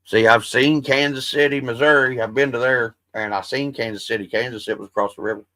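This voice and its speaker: A man's voice: mid-range voice, fairly even intonation, a little fast, pushed and bright, dry recording. A man hosting a livestream, chatting casually with his viewers in a rambling, profane way. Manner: self-described soothing voice. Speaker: livestream host. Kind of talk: casual stream chat.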